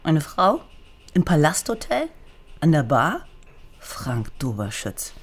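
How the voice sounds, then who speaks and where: A woman's voice: deep voice, big pitch swings, takes it slowly, breathy edge, full character voice. A woman playing a teasing, sardonic East German police lieutenant in a radio crime drama, speaking bluntly and with mocking familiarity.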